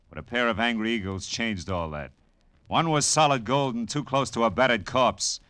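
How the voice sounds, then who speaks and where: An actor playing a tough, wry private detective, narrating and talking in clipped 1940s radio style. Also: male voice, mid-range voice, sharp tone, no echo, lightly acted. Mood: hard, ominous. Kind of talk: 1940s hard-boiled radio narration and acting.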